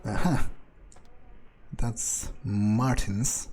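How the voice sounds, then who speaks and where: A man giving in-character gameplay commentary, thinking aloud and muttering to himself in a halting, musing way. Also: on the low side, steady tone, a little mellow, slight background noise. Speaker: let's player role-playing his game character.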